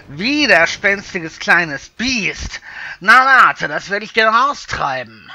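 A man's voice: high voice, sharp tone, a little hiss or hum, heavily acted, rough recording. A man playing a cruel, leering pirate who taunts lecherously in a fantasy audio drama. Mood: angry menace. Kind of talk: fan-made fantasy audio drama acting.

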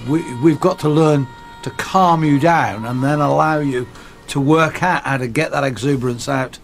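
A man's voice: a little high, normal speed, faint music behind. A man talking as a vocal coach and judge, giving emphatic praise in a TV studio. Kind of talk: casual talk. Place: TV studio with audience. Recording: studio crowd and noise behind.

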